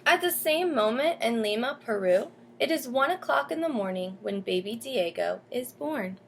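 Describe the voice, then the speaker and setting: A woman teacher reading a picture book aloud to children, pausing to explain and ask questions. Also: on the high side, animated, vocal fry, a little hiss or hum. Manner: explains and asks questions as if to young listeners. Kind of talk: storybook read-aloud with comments to children.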